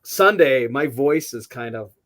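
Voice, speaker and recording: A man co-hosting a live auction broadcast, chatty and enthusiastic, quick to laugh. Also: medium pitch, expressive, fairly bright tone. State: prone to losing his voice. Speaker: auction show co-host.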